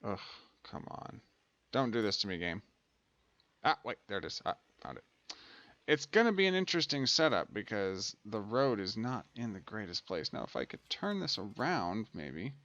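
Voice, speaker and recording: A man's voice: fairly low pitch, sing-song, moderate pace, fairly bright tone, no room sound, highs cut off. A man giving casual let's play commentary as a gaming host, thinking aloud and muttering to himself.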